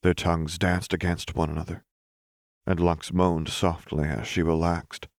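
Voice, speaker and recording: A man reading scripted fiction as an audiobook narrator, in a measured, expressive storytelling manner. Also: deep voice, creaky, dry recording, clean studio sound.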